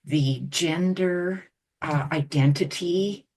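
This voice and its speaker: Woman podcast host, measured interviewer; husky, unhurried delivery. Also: lowish voice, darkish tone. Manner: measured, thoughtful questioning. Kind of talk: interview questions.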